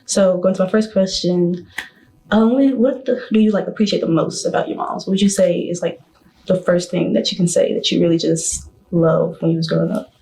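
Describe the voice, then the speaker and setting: A young woman of twenty hosts a casual discussion among women. Her voice is warm and mellow. She speaks haltingly, restarting her sentences and leaning on fillers as she finds her questions. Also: fairly low pitch, steady tone, vocal fry, rough recording. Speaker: discussion host.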